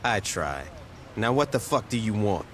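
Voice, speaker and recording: A man's voice: fairly low pitch, very animated, normal speed. An actor playing a tough, defiant male street gang leader in a video game, blunt and threatening. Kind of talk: video game cutscene acting. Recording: loud outdoor noise behind the voice.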